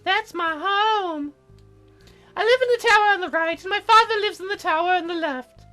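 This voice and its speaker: Bossy young fairy girl for a visual novel, voiced by a man, squeaky high falsetto, huffy and animated, music underneath. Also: moderate pace. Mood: excited, huffy. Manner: petulant, demanding. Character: bossy, bratty young cloud fairy girl, easily offended.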